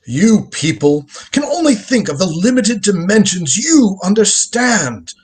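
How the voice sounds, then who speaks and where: A man's voice: high-pitched, very animated, measured pace, husky, exaggerated voice. A man playing a crotchety, proud old grandfather and time-travelling inventor in a live radio drama reading, with an imperious, lecturing manner. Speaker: lead actor in a live script reading.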